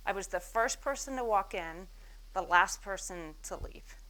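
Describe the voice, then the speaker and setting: An American woman in her forties tells her business story from a stage mic at a moderate, middle pitch. She swings her pitch and loudness widely as she acts out the people she quotes, and her self-mocking jokes keep the talk lively. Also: moderate pace, poor audio. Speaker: speaker giving a business talk. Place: event stage before an audience.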